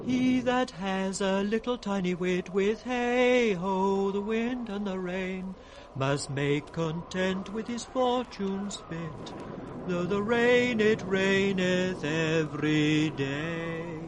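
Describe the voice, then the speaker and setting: A riddling British court fool in a Shakespearean radio drama. His high voice has a singsong, mocking rhythm. The vintage recording has clear background noise. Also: takes it slowly. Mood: wistful, singsong. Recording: noisy 1960s radio recording.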